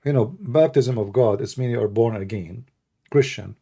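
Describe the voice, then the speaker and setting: Gravelly Arabic-accented man, halting speech. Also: mid-range voice, dark tone. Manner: halting, many fillers and restarts, blunt and opinionated. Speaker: livestream debate host. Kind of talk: casual unscripted talk answering questions.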